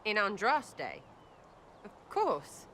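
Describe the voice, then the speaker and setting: A woman's voice: mid-range voice, huge pitch swings, extremely slow, sharp tone, a little hiss or hum, exaggerated voice. An actress playing a brash, irreverent young woman archer in a video game, with an English accent and a blunt, slangy, scattered way of talking. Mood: anxious, defiant. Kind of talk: video game character dialogue.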